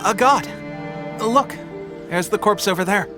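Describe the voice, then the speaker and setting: An actor playing an eager young resistance soldier in an anime game, friendly and putting on a brave face while unwell with a cold. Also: male voice, high-pitched, measured pace. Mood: casual. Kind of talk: English anime game voice acting. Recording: music behind.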